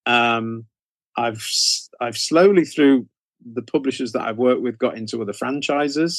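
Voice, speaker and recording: A man's voice: medium pitch, no echo. Man, conversational, dry close sound. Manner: rambling, repeats and rephrases phrases. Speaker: guest, horror author. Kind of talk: conversational interview answers.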